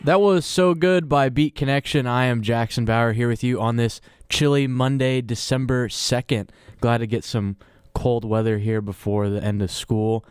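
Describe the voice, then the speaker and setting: A man's voice: medium pitch, fairly even intonation, moderate pace, hardly any pauses, clean tone, no echo. Upbeat young male college radio DJ. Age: young adult. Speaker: college radio DJ and postgame host. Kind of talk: radio DJ patter and postgame talk.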